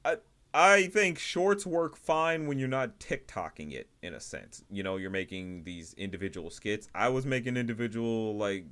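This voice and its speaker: A man hosting a livestream, giving casual, rambling commentary in a halting way, often repeating and rephrasing himself. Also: fairly low pitch, fairly bright tone. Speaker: livestream host reviewing indie animation.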